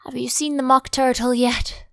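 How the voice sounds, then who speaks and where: A woman's voice: high-pitched, little pitch movement, normal speed, vocal fry, fairly bright tone, dry recording, clean studio sound. A woman voicing an imperious, quarrelsome storybook Queen with a commanding, curt, emphatic delivery. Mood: brisk, commanding. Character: imperious, quarrelsome Queen who shouts threats of beheading.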